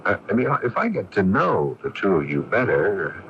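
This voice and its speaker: An actor playing an earnest, friendly, somewhat hesitant sculptor in a 1980s radio drama, both narrating and talking. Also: male voice, low voice, wildly swooping pitch, moderate pace, a bit bright, exaggerated voice. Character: earnest, friendly male sculptor puzzled by his neighbor. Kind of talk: 1980s radio drama acting, first-person narration and dialogue. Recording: noisy, muffled 1980s AM radio recording.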